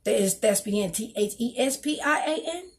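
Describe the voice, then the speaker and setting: 50s lady rambling thru her resume on a webcam at home, husky voice, keeps repeating herself. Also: a little high, animated, normal speed. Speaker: vlogger, singer and dancer. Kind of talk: casual talk to camera, reading from her resume. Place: small home room, indoors. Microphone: webcam on a desk.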